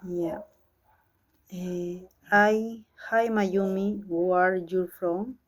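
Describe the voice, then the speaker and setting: A young woman from Peru with a Peruvian Spanish accent, a Spanish speaker and language student, reading a practice dialogue aloud haltingly. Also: fairly low pitch, steady tone. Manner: halting, reads haltingly with restarts.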